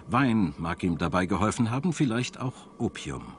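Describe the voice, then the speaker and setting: A man with a standard German accent narrating a scripted documentary in a measured, dramatic storytelling manner. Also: lowish voice, very animated, a little hiss or hum. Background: background music.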